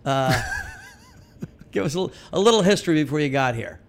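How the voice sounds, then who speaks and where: A man hosting a relaxed interview show, upbeat and laughing easily with his guests. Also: on the high side, normal speed, gravelly. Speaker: host. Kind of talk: casual interview.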